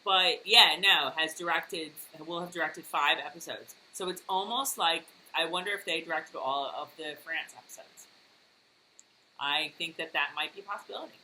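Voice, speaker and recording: A woman's voice: low-pitched, normal speed, bright, forceful tone, slight background noise, low-quality recording. A woman co-hosting a casual recap chat, talking loosely with lots of fillers and frequent laughter. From United States. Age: adult, worked in high school and college years ago. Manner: chatty, laughs often, many fillers. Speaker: podcast co-host.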